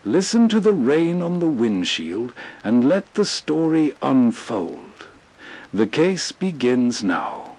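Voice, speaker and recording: A man's voice: mid-range voice, sing-song, takes it slowly, darkish tone, poor audio. A man reading a soothing scripted introduction as the host. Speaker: host, narrator. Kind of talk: slow, soothing scripted narration.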